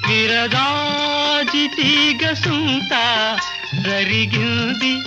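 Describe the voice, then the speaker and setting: A woman singing a slow, drawn-out melody in an old film song. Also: high voice, over loud music. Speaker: playback singer.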